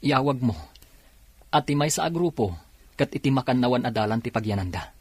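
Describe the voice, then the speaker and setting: A man's voice: mid-range voice, animated, brisk, darkish tone, breathy edge, no room sound. An actor playing a commanding policeman in an Ilocano radio drama, giving directions in a Filipino Ilocano accent. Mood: urgent. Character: commanding policeman leading a response.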